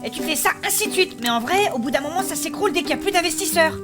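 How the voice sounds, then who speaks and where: Woman playing a very high-voiced, sensible heroine. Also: animated. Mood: explaining. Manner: polite, measured, mildly chiding. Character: gentle, sensible female adventurer, mildly chiding. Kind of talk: comic fantasy audio drama. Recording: music behind the voice.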